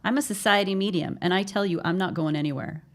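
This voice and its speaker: An actress playing a blunt, slangy con-artist posing as a society medium in an audio drama. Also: female voice, medium pitch, fast talker, natural voice. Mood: defiant. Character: brassy female con-artist fake medium.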